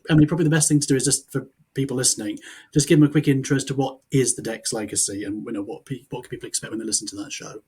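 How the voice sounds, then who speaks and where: Guy hosting a podcast, talks fast, husky voice, casual, keeps adding little tag questions. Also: medium pitch, steady tone, a little mellow. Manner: informal, often ends statements with tag questions. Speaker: podcast host. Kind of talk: casual interview chat.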